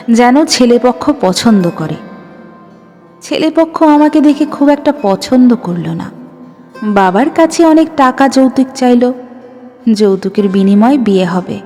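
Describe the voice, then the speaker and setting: A woman reading a dramatic first-person audio story in an expressive manner. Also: on the high side. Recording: long reverb with music behind. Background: background music.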